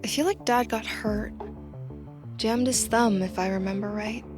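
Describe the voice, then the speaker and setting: A woman playing an earnest, soft-hearted job candidate in a naturalistic audio drama, tentative and thinking aloud. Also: on the high side, fairly even intonation, measured pace, over music, lightly acted. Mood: tentative, remembering.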